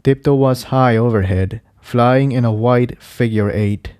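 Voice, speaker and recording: A man's voice: medium pitch, measured pace, a little mellow, clear, resonant tone, dry recording, clean studio sound. A man reading a scripted adventure story as narrator, in audiobook style. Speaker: fan fiction narrator.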